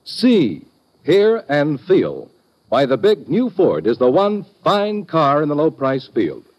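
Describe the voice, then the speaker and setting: Emphatic, lively American radio pitchman. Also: takes it slowly. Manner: emphatic salesman delivery. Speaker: commercial announcer. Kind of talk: 1950s radio ad read, scripted. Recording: dull vintage radio recording.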